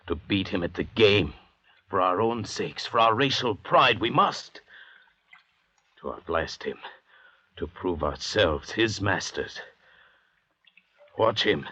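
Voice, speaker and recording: Learned, pompous male doctor: very slow, husky, 1940s oratory, muffled vintage sound. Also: low-pitched, bright, forceful tone, dry recording, heavily acted. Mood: grim resolve. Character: learned, haughty doctor adrift at sea, coldly obsessive. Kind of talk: 1940s radio acting. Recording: dull, muffled old radio recording.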